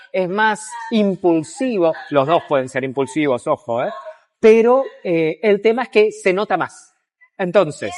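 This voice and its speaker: An Argentine man giving explanatory interview answers as a psychiatrist, in a didactic way with emphatic repetition and rephrasing. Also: high voice.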